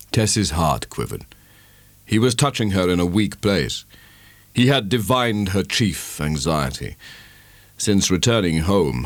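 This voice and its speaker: Male narrator, low voice, animated intonation, dramatic literary reading, theatrical, dry sound. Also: no echo. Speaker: audiobook narrator.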